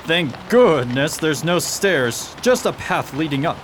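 A dutiful old-fashioned cab horse, acted by a man, plain-spoken and a little grumbly. The voice is somewhat high for a male and unhurried, in a radio play with some noise behind. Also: sing-song. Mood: wry.

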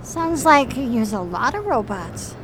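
Woman playing a slow, high-voiced earnest android. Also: sing-song, background noise. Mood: earnest, inquiring. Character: female android partner, calm, earnest, curious about humans. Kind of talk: audio drama acting.

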